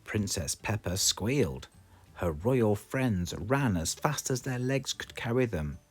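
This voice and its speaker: A man with a British accent reading a children's storybook aloud in a slow, expressive, sing-song way. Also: on the low side, slightly rough. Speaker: storybook reader.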